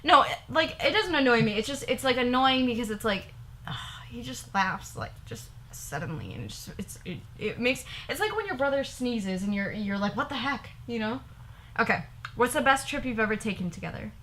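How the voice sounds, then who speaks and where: Young woman vlogger, giggly and rambly, higher bright voice, faint room hiss. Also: very animated, a little fast. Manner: giggly, rambling, repeats herself. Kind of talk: casual couple Q&A vlog.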